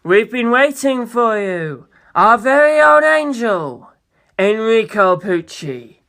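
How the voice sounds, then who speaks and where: A narrator voicing a suave, grandiose young male president with pompous, formal, drawn-out phrasing in an audiobook. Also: mid-range voice, very animated, fairly bright tone, clean tone, pitched above the performer's own voice. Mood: grand welcome.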